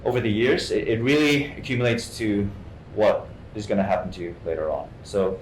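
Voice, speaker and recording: Male guest actor from Hong Kong, low voice, halting and repetitive in informal talk, roomy echo. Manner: halting, repeats words. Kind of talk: informal artist talk, answering questions. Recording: echoey room, faint rumbling noise.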